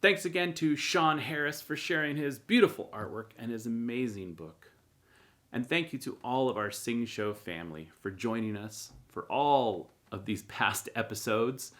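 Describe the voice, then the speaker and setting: A man hosting a children's show, giving a gentle scripted sign-off and thanking the audience. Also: mid-range voice.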